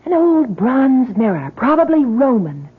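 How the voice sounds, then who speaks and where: An actress playing an eager, hesitant young college student in a 1970s radio drama, with an earnest manner. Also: female voice, high voice, very animated, unhurried, husky, full character voice. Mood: hesitant, intrigued. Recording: muffled vintage 1970s radio recording.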